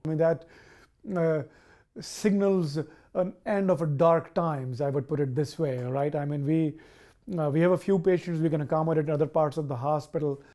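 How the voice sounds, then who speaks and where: Higher-pitched mellow male doctor. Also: fairly even intonation, normal speed. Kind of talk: unscripted news interview.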